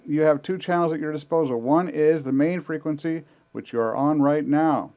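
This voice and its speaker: A man's voice: a little high, a little mellow, lightly acted. A man reading as a stern radio commander. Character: stern male commander briefing scouts by radio. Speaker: podcast co-host.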